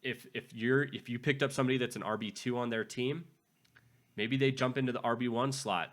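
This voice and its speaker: A man hosting a fantasy football channel, his voice raspy after being sick, giving casual advice with frequent restarts. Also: medium pitch, steady tone, brisk, fairly bright tone. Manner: conversational, frequent restarts and repeated words. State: raspy from recent illness. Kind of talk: casual advice talk to camera.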